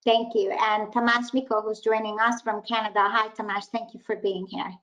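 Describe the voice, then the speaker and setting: A woman's voice: fairly high pitch, level tone, moderate pace, a bit bright. Polite woman hosting a webinar. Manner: polite, appreciative, introduces guests. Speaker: webinar host. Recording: dull lo-fi video call audio.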